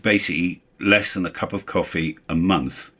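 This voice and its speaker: Male host for a relaxed software review; distinctly low voice, blunt and informal delivery. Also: moderate pace. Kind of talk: casual chat, first-look review.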